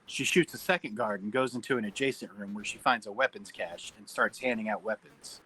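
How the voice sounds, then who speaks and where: Bright, husky man reading stage directions. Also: lowish voice, a little fast, rough recording. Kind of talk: scripted narration at a table read.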